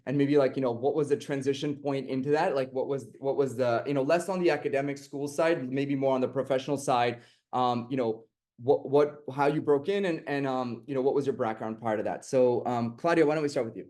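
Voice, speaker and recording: A man's voice: mid-range voice, a little fast, a little mellow. A man moderating an online finance panel, rambling with lots of fillers and restarts.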